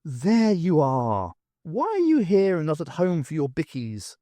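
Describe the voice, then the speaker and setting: A man voicing a wry, brisk female academic in an audiobook, with a dry, sardonic manner. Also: fairly high pitch, huge pitch swings, dark tone, no echo. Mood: scolding.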